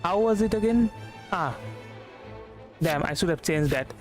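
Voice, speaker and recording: A man's voice: high-pitched, animated, measured pace. A man giving casual game stream commentary, musing aloud and repeating himself hesitantly. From India. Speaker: livestreamer. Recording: game music behind the voice. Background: game music.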